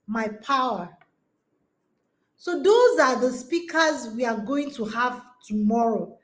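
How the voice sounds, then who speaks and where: High-voiced woman giving an emphatic pep talk. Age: 40s. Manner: emphatic and exhorting, with repeated rallying phrases. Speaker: conference host and women's coach. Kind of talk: motivational talk to an online audience.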